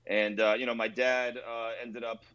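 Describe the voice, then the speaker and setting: A man from Maryland, a restaurant owner, telling stories in a relaxed, rambling way with lots of fillers during an interview. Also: medium pitch, moderate pace, clear, resonant tone, dull audio. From Rockville, Maryland, near Washington D.C. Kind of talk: casual interview over video call. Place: his own themed bar.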